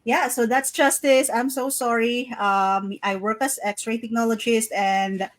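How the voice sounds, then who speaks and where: A woman's voice: fairly high pitch, fairly even intonation, a little slow. A middle-aged woman hosting a casual live-stream interview, upbeat and chatty, repeating greetings and praise. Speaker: host of a live interview series.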